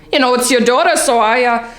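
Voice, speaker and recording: A woman's voice: fairly high pitch, a bit bright, natural voice. A woman playing a chatty middle-aged Long Island housewife and well-meaning mother in a confiding, rambling comic stage monologue. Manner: confiding, rambling, hesitant asides. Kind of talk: theatrical comic monologue, storytelling to a listener. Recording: echoey room.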